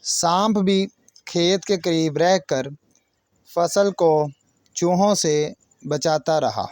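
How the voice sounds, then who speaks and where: Higher-pitched man telling a children's story. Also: a little mellow, no room sound. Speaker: children's storyteller and channel host. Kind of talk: narrated moral story for children with teacher-like asides.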